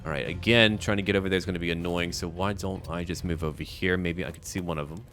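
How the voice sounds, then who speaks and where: A man's voice: sits low, fairly even intonation, fast talker, a bit bright, faint music behind. A man giving casual let's-play commentary, thinking aloud and chatting to the characters on screen as he plays. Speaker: gaming video host playing and narrating.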